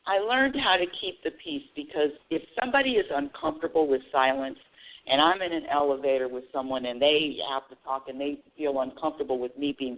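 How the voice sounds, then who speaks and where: A woman hosting a spiritual call-in radio show, talking earnestly and often restarting her phrases. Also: low-pitched. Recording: tinny phone-line audio.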